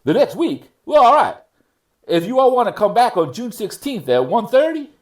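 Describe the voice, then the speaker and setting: A man playing a stern but fair judge in a readers theater courtroom, speaking formally and admonishing in a measured way. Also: extremely high pitch, big pitch swings. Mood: questioning.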